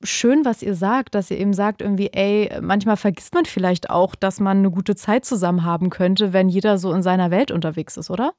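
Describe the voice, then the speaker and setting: A woman's voice: medium pitch, fairly quick, hardly any pauses, darkish tone, dry recording. A woman hosting a late-night radio call-in show, chatty and friendly, laughing often, on a studio microphone.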